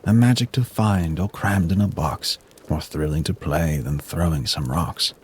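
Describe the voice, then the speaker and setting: A man storyteller reading a rhyming Christmas poem in a solemn, measured way. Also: low voice, a little hiss or hum. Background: music.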